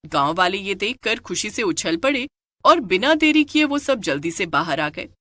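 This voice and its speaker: A woman's voice: medium pitch, big pitch swings, moderate pace, a bit bright, dry recording. A woman narrating a scripted story in a dramatic storytelling style.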